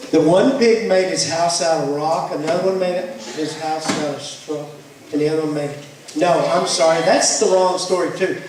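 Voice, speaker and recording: A man's voice: a little high, a little hiss or hum. A man narrating a puppet show live, telling the story in a rambling, bumbling, teasing way with ad-libs. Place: church hall. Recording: very echoey hall from far off.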